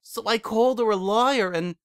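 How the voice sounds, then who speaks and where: A man voicing a heartbroken young female pony who speaks in a cold, flat tone that breaks into sobbing, in a dry recording. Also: high voice, moderate pace, clean tone, no echo, pitched above the performer's own voice.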